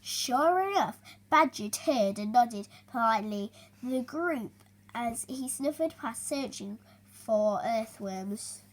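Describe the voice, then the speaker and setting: Child female reader, high voice, slow pace, expressive storybook delivery with halting stumbles. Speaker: young reader hosting a story video. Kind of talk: reading a children's storybook aloud, with chatty asides.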